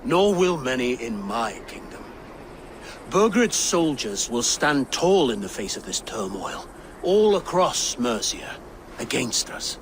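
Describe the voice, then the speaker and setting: A man's voice: mid-range voice, very animated, slow pace, a little hiss or hum. A middle-aged actor playing a solemn new king and father speaking formally. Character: newly crowned king, grave father.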